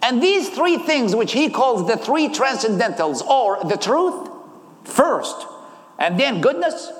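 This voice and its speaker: A man's voice: extremely high pitch, sing-song, slow pace. A man in his thirties from Iraq with an Iraqi accent, giving a sermon and personal testimony. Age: 30s. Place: large hall, live talk. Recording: echoey hall with long reverb.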